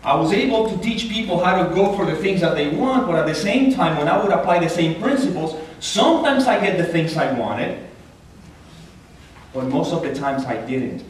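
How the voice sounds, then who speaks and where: A man with a Puerto Rican accent giving an earnest, animated inspirational talk as a guest speaker at a church. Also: moderate pace, a little hiss or hum. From Puerto Rico. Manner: earnest, animated, rises in pitch when stressing a point. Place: large church hall. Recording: echoey hall, taken from far off.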